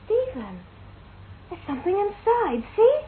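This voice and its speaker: An actress playing a timid, devoted young wife in an old-fashioned radio ghost drama, emotive and breathless. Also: female voice, very high-pitched, sing-song, measured pace, exaggerated voice. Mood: curious. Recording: muffled, tinny radio recording.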